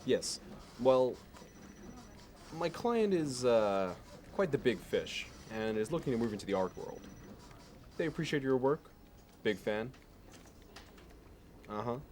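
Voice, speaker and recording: A man plays a meticulous, self-satisfied male Manhattan banker in an ASMR roleplay. He sounds measured and sure of himself, with fastidious attention in his delivery. A light layer of noise sits behind the voice. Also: mid-range voice, a little mellow. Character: smug, meticulous Manhattan investment banker from American Psycho.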